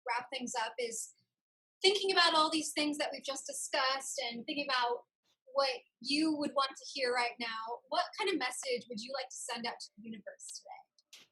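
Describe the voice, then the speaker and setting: A woman interview host with a high, bright voice. She speaks in a casual, reflective conversational style, feeling her way through each thought and rephrasing as she goes. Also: normal speed, rough recording.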